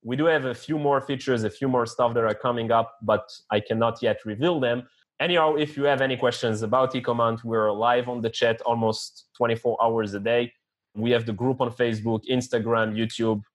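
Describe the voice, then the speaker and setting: A man whose first language is French, a podcast guest giving earnest advice in casual interview talk, often pausing to search for words with hesitant fillers. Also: mid-range voice, steady tone. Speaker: podcast guest, online store founder.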